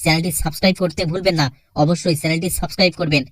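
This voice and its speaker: Male channel host for scripted outro: rapid, fairly high voice, dry studio-like sound. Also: steady tone, a bit bright, dry recording.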